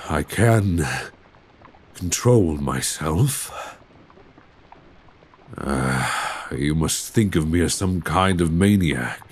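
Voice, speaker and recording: A man playing a courteous, secretive Victorian gentleman vampire in an intimate ASMR roleplay, with formal, genteel diction and endearments. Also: a bass voice, a little hiss or hum, full character voice. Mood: apologetic, restrained. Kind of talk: intimate ASMR audio roleplay, romantic drama. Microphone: close binaural microphone. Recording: binaural recording with clear background ambience.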